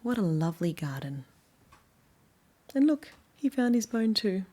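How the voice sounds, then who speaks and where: A woman with an Australian accent reading a picture book aloud to young children, with gentle, often hushed asides. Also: mid-range voice, sing-song, unhurried. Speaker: children's story reader.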